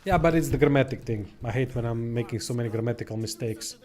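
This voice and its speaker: Croatian-accented streamer in his thirties, dark relaxed tone, loose swearing banter over gameplay. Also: medium pitch, fast talker. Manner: blunt, swears freely, rambling. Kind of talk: casual gameplay chat.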